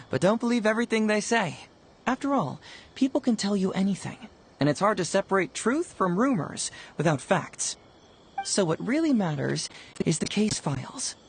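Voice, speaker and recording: A man's voice: high voice, sing-song, normal speed, husky, full character voice. An actor playing a confident, playful young male detective in a video game, explaining things breezily.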